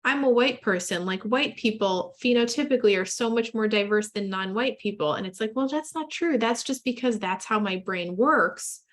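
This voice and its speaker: A woman's voice: fairly high pitch, normal speed, few pauses. Woman, lecturer, medical seminar, conversational, thinking aloud, repeats herself. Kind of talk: academic discussion with residents.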